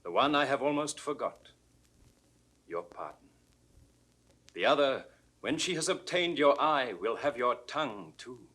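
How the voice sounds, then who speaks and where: An actor playing an effusive, admiring courtly gentleman in Shakespearean verse, with a husky, forceful voice. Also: mid-range voice, exaggerated voice. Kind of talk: classical Shakespearean stage acting.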